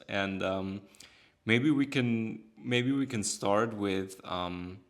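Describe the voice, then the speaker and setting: A man's voice: on the low side, vocal fry. A German man with a German accent, hosting and interviewing on a podcast.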